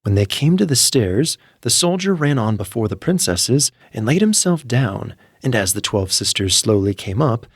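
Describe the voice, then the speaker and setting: A man's voice: medium pitch, very animated, normal speed, hardly any pauses, breathy edge, dry recording, clean studio sound. A man narrating a scripted fairy tale as a storyteller, reading in full literary sentences. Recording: music behind the voice. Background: background music.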